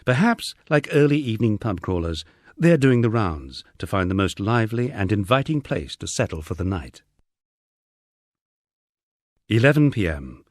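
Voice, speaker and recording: Deep-voiced, expressive male audiobook narrator. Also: normal speed, pauses often, no echo. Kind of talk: scripted literary narration of a humorous country memoir.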